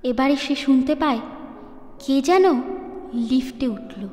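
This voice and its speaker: Female horror narrator, higher-pitched voice, suspenseful short phrases, heavy reverb with music underneath. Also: measured pace, a little mellow. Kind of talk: dramatic scripted ghost story narration. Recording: echoey long reverb over clear music. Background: background music.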